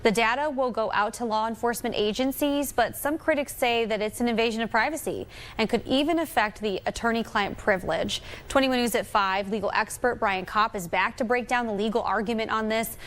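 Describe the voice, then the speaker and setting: A woman's voice: on the high side, brisk, hardly any pauses, fairly bright tone. A woman with an American accent, speaking as a news anchor in a broadcast news interview style.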